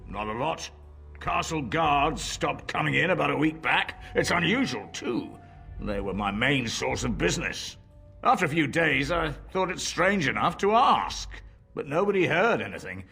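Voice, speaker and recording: An actor playing a wary, sardonic village tavern barkeep in a fantasy video game, with a gruff, husky delivery. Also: male voice, on the high side, big pitch swings, normal speed, sharp tone, soft background music, exaggerated voice.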